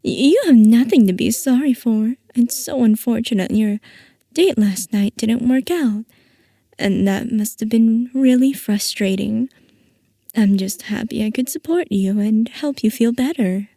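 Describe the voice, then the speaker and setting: A woman playing a playful, possessive scientist boss who dotes on her assistant, in a soft, coaxing ASMR roleplay. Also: fairly high pitch, measured pace, no room sound, studio quality. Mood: reassuring, fond. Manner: soft, coaxing, affectionate villainy.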